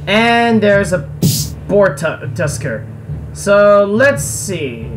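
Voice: medium pitch, sing-song, drawn-out words, low-quality recording. A gamer giving casual gameplay commentary in a sarcastic, foul-mouthed, grumbling way. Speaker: let's player. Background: game music.

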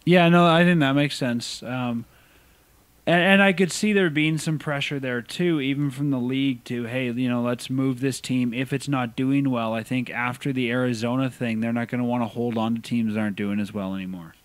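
A man's voice: mid-range voice, steady tone, normal speed, no echo. A Canadian man co-hosting a podcast in casual sports chat, asking questions and thinking aloud. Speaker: podcast co-host.

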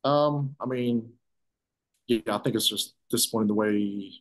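A man in his thirties, a soccer defender, answering postgame press questions over a video call, stopping and restarting his sentences often. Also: lowish voice. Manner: halting, many restarts and fillers. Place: press room in front of sponsor backdrop.

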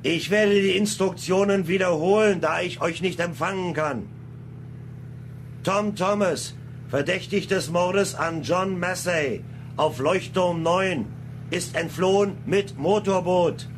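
An actor playing a calm, methodical, authoritative police chief inspector in a German crime radio drama. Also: male voice, sits high, slow, background noise. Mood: loud, urgent dictation.

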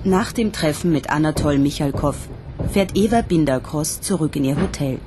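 Female radio-drama narrator: low-pitched, sober, factual documentary read, faint ambient noise behind. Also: expressive. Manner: factual, measured.

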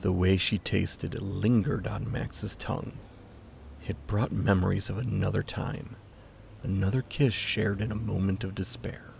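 A man's voice: sits low, little pitch movement, measured pace, vocal fry, a little mellow, a little hiss or hum. A man narrating a scripted story as the narrator of a dramatised piece of fiction.

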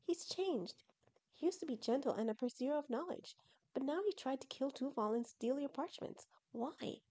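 A woman voicing an excitable, earnest young female fox creature in an audio story, eager and emphatic. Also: high voice, moderate pace, pitched above the performer's own voice. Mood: troubled.

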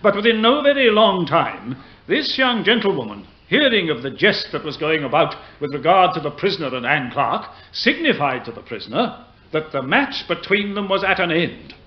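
A formal prosecutor in a period courtroom drama. His voice is high and highly varied in pitch, earnest and measured, on a slightly muffled recording. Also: sing-song. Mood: grave, narrating. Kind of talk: period radio play acting.

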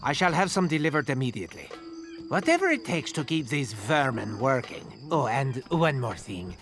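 Casting: actor as a sneering villain in a game, wildly swinging delivery, music under. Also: male voice, mid-range voice, very animated, moderate pace, lightly acted. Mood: menacing. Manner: pompous, menacing, condescending. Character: haughty French-accented male villain, imperious and cruel. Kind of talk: video game cutscene acting.

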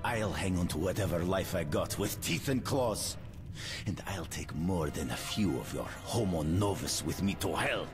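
An actor playing a grim, battle-hardened ranger in a video game, gruff, resolute and commanding. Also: male voice, sits low, lively intonation, normal speed. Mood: defiant. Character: grim, battle-hardened male ranger who hunts mutants. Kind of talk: dramatic video game acting. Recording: loud music behind. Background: loud music.

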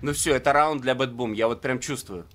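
A man commentating live on a video game match, conversational and swinging into excited play-by-play. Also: medium pitch, fairly quick, a little hiss or hum. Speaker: esports commentator. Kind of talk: live game commentary in a two-caster duo.

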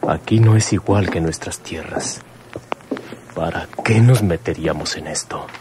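Deep-voiced brooding prisoner. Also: male voice, unhurried, noisy background. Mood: anxious, brooding. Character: imprisoned grown son, weary, anxious, hopeful. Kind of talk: radio drama soliloquy.

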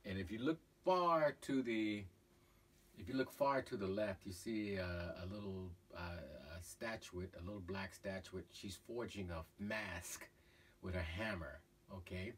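Low-voiced man, halting and far from the mic. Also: husky. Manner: halting, many fillers and restarts. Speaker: painter. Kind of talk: casual explanation of his own painting. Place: cluttered art studio indoors. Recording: voice from far off, faint room noise.

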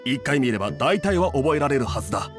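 An actor playing a friendly, straightforward inn cook with casual, blokey speech in an anime video game. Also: male voice, mid-range voice, sing-song, fast talker, over music, lightly acted. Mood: agreeable.

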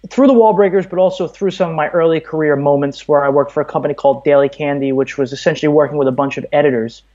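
A man's voice: fairly high pitch, fast, mellow, lo-fi sound. A man from Brooklyn, telling stories conversationally as a podcast guest. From Brooklyn, New York, USA. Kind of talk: conversational interview, storytelling.

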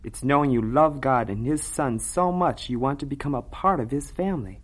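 A man playing a patient, devout older farm brother in a vintage radio drama, gentle and sincere. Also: medium pitch, normal speed, close to an everyday voice.

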